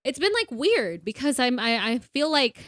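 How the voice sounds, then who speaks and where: Young woman cohost chatting away, lots of likes, kinda high voice, dry close sound. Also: very animated, fairly bright tone, dry recording. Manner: candid, talks fast with many "like" fillers. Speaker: podcast co-host. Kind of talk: casual chat.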